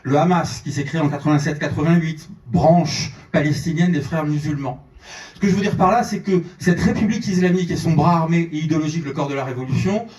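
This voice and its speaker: A man with a slightly high voice gives a fervent speech from a theatre stage, pushing his points and repeating words when he gets going. The hall rings with echo, and he sounds a little far from the mic. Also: fast, darkish tone. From France and Iran, French-Iranian. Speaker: journalist speaking at a conference. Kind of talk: impassioned conference speech. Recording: echoey hall, voice from a distance.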